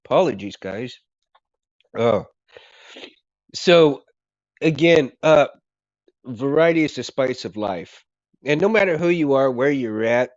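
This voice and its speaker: A man's voice: medium pitch, dry recording, highs cut off. A man hosting a casual livestream who is losing his voice, rambling and repeating himself as he thanks his viewers. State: hoarse, losing his voice, coughing. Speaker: livestream host.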